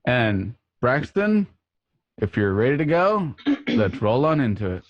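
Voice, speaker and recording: A man's voice: mid-range voice, big pitch swings, a little slow, dry recording. A man hosting a radio show, presenting the intro in an announcer-like way. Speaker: host. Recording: dull lo-fi home recording.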